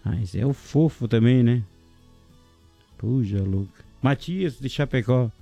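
A man hosting a casual local radio show, jokey and chatty, giving shout-outs to listeners and sponsors. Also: mid-range voice, takes it slowly, creaky, dry recording, soft background music. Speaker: radio host.

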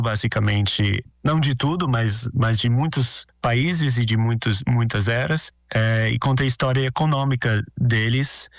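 American-accented man, halting answers. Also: medium pitch, dry recording, studio quality. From Brazil and United States. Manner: halting, repeats words while searching. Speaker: guest, political scientist. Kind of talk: interview answers.

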